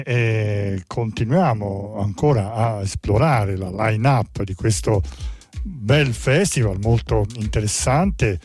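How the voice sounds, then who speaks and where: A man presenting a music radio show, introducing songs in an unhurried way with occasional pauses. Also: fairly low pitch, a little mellow, husky, no echo, studio quality. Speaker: radio host.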